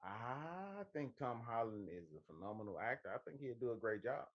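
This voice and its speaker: A man's voice: mid-range voice, very animated, normal speed, highs cut off. A man co-hosting a movie talk show, chatting casually in an emphatic, sweary way. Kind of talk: casual chat.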